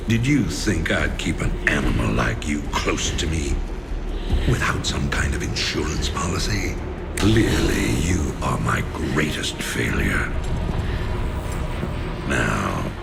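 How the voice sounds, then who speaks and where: A man playing a ruthless, middle-aged emperor in a science fiction game, deep and gravelly, with condescending, deliberate menace. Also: full character voice. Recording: loud music behind the voice.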